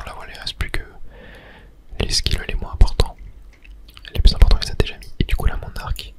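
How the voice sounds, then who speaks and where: A soft, breathy whisper carries this ASMR gaming commentary. The speaker thinks aloud in a casual, hesitant way while playing, never rising into full voice. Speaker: video game streamer narrating own play.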